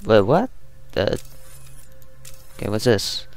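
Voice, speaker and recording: Low, creaky-voiced man commenting on a game. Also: big pitch swings, slow, darkish tone. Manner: muttering, thinking aloud. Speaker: gamer hosting a let's play. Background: game music and sound.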